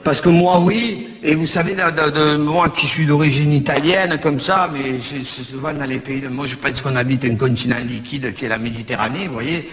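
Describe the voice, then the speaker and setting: A man's voice: a little high, normal speed, few pauses, fairly bright tone. Marseille-accented man in an echoey theater. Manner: rambling, hesitant, stumbling restarts. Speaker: comedian on stage. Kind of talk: stand-up chat with the audience. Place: large theater stage. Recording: echoey hall with crowd.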